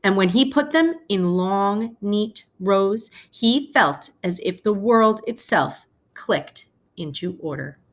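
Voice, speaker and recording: A woman's voice: mid-range voice, animated, slow pace, hardly any pauses. A woman teacher speaking to students as she reads a children's picture book aloud, explaining things informally and phrasing carefully.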